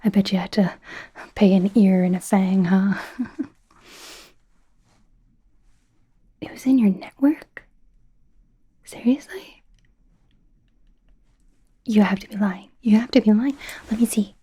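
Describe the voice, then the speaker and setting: A woman's voice: medium pitch, steady tone, a little slow, pauses often. Woman playing a whispering, awkward young werewolf doctor-in-training. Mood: incredulous. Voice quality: breathy, soft whisper. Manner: chatty, hesitant, gently sarcastic, giggly. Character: young female werewolf doctor student, eager, awkward, wry. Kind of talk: whispered ASMR roleplay.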